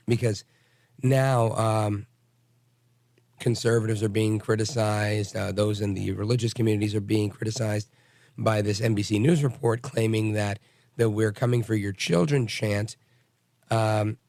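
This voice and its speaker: A raspy, hoarse man hosts a talk radio show, lowish voice close and dry on the studio mic. He is middle-aged, blunt and combative, rambling with restarts as he opines. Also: darkish tone, no room sound. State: hoarse from bronchitis. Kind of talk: opinionated talk radio monologue.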